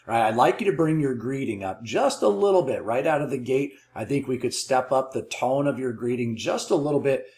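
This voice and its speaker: A man's voice: medium pitch, lively intonation, a little fast, few pauses, darkish tone. A man giving encouraging, affirming coaching commentary as a call trainer. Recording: roomy, echoey sound.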